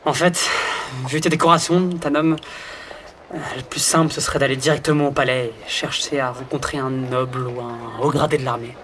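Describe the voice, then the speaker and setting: A man's voice: medium pitch, steady tone, fairly quick, fairly bright tone, slight background noise, close to an everyday voice. A man playing a pragmatic, wry insect adventurer in an audio drama, dry and reasoned. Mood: measured, firm.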